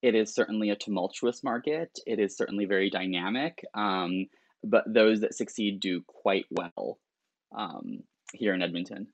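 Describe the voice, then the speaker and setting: A man's voice: on the low side, animated, moderate pace, creaky, no room sound. A middle-aged man, chatting casually in an interview in a wry, self-deprecating way. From born in Lebanon, grew up partly in rural Alberta, Canada. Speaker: realtor, interview guest.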